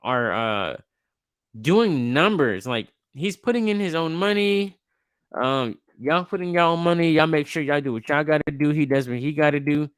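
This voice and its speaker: A man in his twenties hosting a podcast, talking in a relaxed, slangy way with lots of restarts. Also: fairly high pitch, moderate pace, smooth, no room sound, clean studio sound. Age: 20s. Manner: laid-back, slangy, rambling with restarts. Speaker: podcast host. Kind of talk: casual interview chat.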